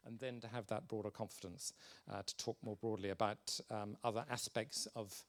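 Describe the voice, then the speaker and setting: A man's voice: lowish voice, steady tone, normal speed. A man in his sixties giving an informal conference talk with career advice, speaking in an emphatic, encouraging way as a senior professional.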